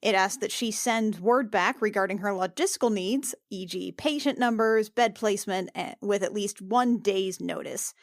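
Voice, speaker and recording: Woman tabletop player, medium pitch, casual delivery and read-aloud, very dry recording. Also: moderate pace, hardly any pauses, dry recording.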